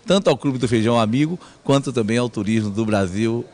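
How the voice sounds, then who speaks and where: A middle-aged man speaks as an official in a TV interview. His pitch is average. He pays formal tribute into a handheld microphone indoors. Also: brisk, darkish tone. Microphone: handheld reporter microphone.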